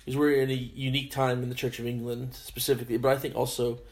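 A man interviewing a guest, speaking gently and reflectively, affirming what she says. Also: mid-range voice, level tone, fast talker. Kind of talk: conversational interview.

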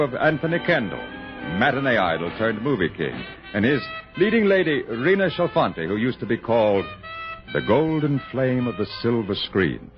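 A man narrating a scripted 1960s radio drama in a florid, theatrical storytelling style. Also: mid-range voice. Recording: muffled old radio broadcast with music.

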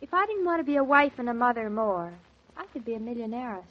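A high, girlish young newlywed wife, playful and impulsive. She speaks eagerly in 1940s radio drama style, on a dull vintage recording. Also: lively intonation, fast talker. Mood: earnest, proud. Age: young adult.

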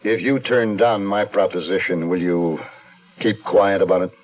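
A man's voice: deep voice, moderate pace. An actor playing a wounded American man on the run, telling his crime story in terse first-person radio drama narration. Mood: guarded. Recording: dull 1970s radio recording.

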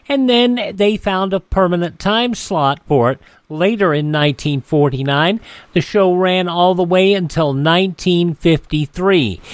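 A man hosting a classic radio show, presenting in a relaxed way with some scripted notes. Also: high voice, no room sound.

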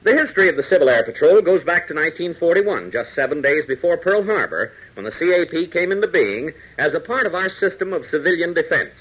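An American man announcing and narrating a 1940s radio serial in an emphatic, dramatic, husky voice. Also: fairly high pitch, lively intonation, fairly quick, few pauses, fairly bright tone, poor audio.

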